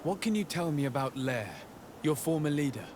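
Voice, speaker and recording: An actor playing a composed, firm envoy in a video game, polite, formal and direct. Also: male voice, on the high side, expressive, moderate pace, noisy background, close to an everyday voice. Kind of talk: video game cutscene acting.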